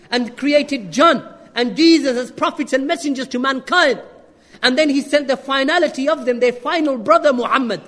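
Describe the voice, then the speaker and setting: A man preaching an earnest sermon with rhetorical questions and quick Arabic recitation. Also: extremely high pitch, fairly bright tone, long reverb tail. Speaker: Islamic preacher.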